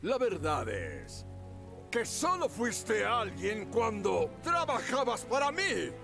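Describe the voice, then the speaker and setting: A man's voice: high voice, huge pitch swings, slow, gravelly, heavily acted. An actor playing an arrogant, cruel male tycoon and mayor in a video game, speaking in a cold, taunting way. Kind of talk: video game dub acting. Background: game music and rain.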